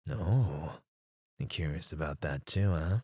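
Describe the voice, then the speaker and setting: A man playing a menacing, teasing kidnapper in an ASMR roleplay, drawling his taunts and dropping into hushed murmurs close to the microphone. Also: extremely low pitch, measured pace, husky, no echo, heavily acted. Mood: sultry, threatening. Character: menacing, teasing male kidnapper interrogating a captive. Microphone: close studio microphone.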